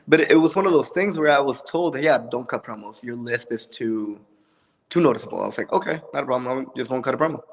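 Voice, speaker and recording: A young man from Puerto Rico with a lisp, a wrestler answering interview questions in a relaxed, joking way with many ums. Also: mid-range voice, fast talker, creaky. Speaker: wrestler, guest. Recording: long reverb.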